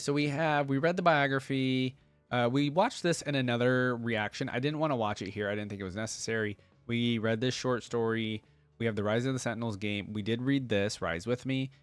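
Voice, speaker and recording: A man's voice: mid-range voice, no echo. A man hosting a reaction video with casual, chatty commentary, thinking aloud as he goes.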